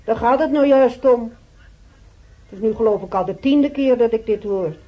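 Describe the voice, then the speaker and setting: An actress playing a peevish hospital patient in a radio play, grumbling with indignation and sarcasm. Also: female voice, on the high side, moderate pace, lightly acted. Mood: indignant. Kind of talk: Dutch radio play acting. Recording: dull radio drama recording.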